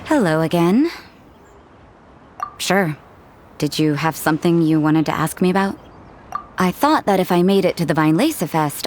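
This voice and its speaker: An actress playing a cool, detached young woman in a video game, speaking in a measured, dry, unsentimental way. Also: sits low, a little hiss or hum, natural voice. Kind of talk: English video game character dialogue.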